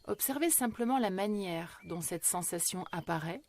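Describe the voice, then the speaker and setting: A woman guiding a yoga relaxation meditation in a slow, measured, gentle and soothing way, with long pauses. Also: on the low side, animated. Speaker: yoga nidra meditation guide.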